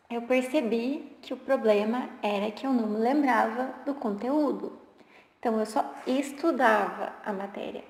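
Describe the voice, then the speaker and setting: A woman's voice: on the high side, darkish tone. Woman in her twenties, echoey room. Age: 20s. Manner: conversational, with restarts and filler words. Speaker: geography teacher. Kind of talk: personal story pitching a study method. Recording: roomy echo with long reverb.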